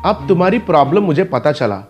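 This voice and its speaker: A man's voice: fairly high pitch, expressive, fast talker, muffled recording, lightly acted. A man voicing a kindly, reassuring scientist in a children's cartoon story, over light music. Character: kindly helpful male scientist uncle, reassuring.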